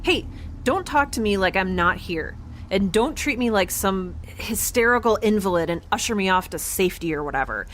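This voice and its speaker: A woman playing an injured, stubborn scientist in an audio drama, talking in a halting, defensive, naturalistic way. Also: medium pitch, lively intonation, slight background noise, close to an everyday voice. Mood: indignant, flustered. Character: injured, stubborn, rambling woman scientist, dazed but defiant.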